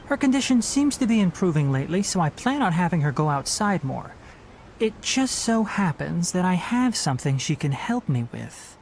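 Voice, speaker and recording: An actor playing a kind, sensible young male healer in a video game, articulate, instructive and gently chiding. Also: high voice, very animated, normal speed, creaky, a little hiss or hum, exaggerated voice. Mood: caring, instructive. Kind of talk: English video game voice acting.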